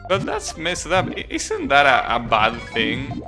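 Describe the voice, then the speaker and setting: Male game streamer, mid-pitched, unhurried, hesitant off-the-cuff commentary over prominent game music. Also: huge pitch swings, pushed and bright. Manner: hesitant, repeats words, swears casually. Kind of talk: casual live gameplay commentary. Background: video game music.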